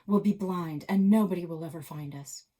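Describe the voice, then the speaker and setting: A woman's voice: on the low side, normal speed, mellow, close to an everyday voice. A woman voicing a bold, urgent young female adventurer in a storybook, with an emphatic, commanding manner. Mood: grim.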